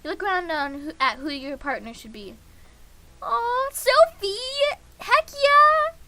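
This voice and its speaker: A woman livestreaming a game in a casual, excitable way, reading the text aloud and reacting with giggly gushing. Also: extremely high pitch, takes it slowly.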